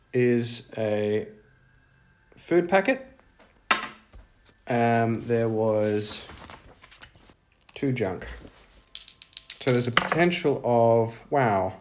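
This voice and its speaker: A man talks himself through a solo tabletop game at a slow pace. He sounds unscripted, musing to himself, with faint noise and small clicks in the recording. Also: mid-range voice. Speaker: solo roleplayer. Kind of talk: unscripted thinking aloud during tabletop play.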